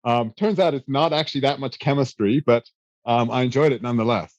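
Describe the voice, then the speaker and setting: A man in his thirties chats about his own past with a loose, self-deprecating ease, sprinkling his answers with fillers and small restarts. His voice sits at an ordinary middle pitch, recorded close in a dry room. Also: brisk, no echo, lo-fi sound. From South Africa. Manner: relaxed, self-deprecating storyteller with many fillers. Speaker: guest. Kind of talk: casual interview answers.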